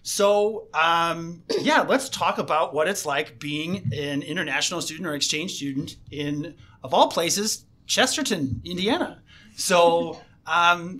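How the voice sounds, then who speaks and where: A man's voice: a little high, sharp tone. A man hosting a school radio interview show, talking casually with plenty of fillers and restarts. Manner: halting, many fillers and restarts. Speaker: host, assistant principal.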